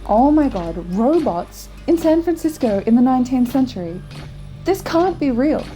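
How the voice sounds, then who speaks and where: Companion role female actor: young, very mellow, slightly high, wry, inquisitive, audio drama. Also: big pitch swings, normal speed, faint music behind, rough recording. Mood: curious, surprised. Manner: casual, wry, questioning.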